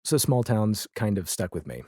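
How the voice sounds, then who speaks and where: A man speaking as a podcast guest in a casual, reflective conversation, with halting, thoughtful phrasing. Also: fairly low pitch, very animated, mellow, no echo, studio quality.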